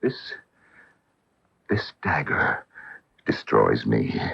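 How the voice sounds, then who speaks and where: A proud, bitter old professor in a radio thriller. The voice is very deep and husky, with a theatrical, menacing intensity. The sound is dull lo-fi AM radio. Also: male voice, big pitch swings, drawn-out words, no echo, full character voice. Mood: desperate, threatening. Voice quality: gravelly, husky. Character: proud, embittered older male professor, desperate and menacing. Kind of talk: 1970s-80s radio drama acting.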